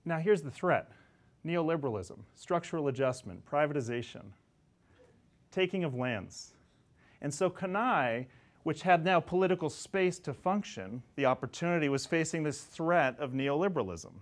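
A man in his forties lectures a class from the front of the room, animated and chatty, with quick asides to his listeners. His voice sits at an ordinary middle pitch and comes through clean on a clip-on mic. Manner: animated, gesturing, conversational with asides. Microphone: lapel mic.

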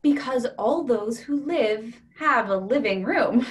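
Actress as matter-of-fact, higher-pitched young woman. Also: expressive, slow pace. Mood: calm, matter-of-fact. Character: matter-of-fact, gently stubborn partner in an absurdist play. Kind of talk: staged play reading. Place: indoors on a video call.

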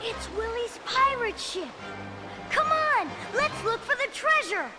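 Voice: very high-pitched, sing-song, takes it slowly, heavily acted. A performer playing an eager, adventurous young boy on a treasure hunt in an acted read-along storybook. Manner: eager, exclaiming. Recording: loud music under the voice.

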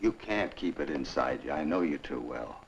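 An American actor, middle-aged, playing a stern, urgent government investigator with an earnest, clipped manner in an old film. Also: male voice, very deep voice, lively intonation, normal speed, highs cut off. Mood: grave, persuading. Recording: old film soundtrack with hiss.

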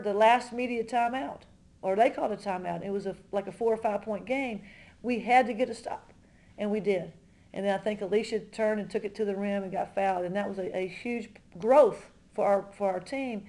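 Mellow middle-aged woman coach, conversational. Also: mid-range voice. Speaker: college basketball head coach. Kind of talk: postgame press conference remarks. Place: indoor press room in front of a backdrop.

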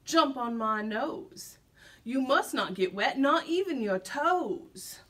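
A woman putting on a sly, slow-talking old fox. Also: high-pitched, expressive, a bit bright, distant mic, heavily acted. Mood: coaxing. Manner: slow, coaxing, falsely polite.

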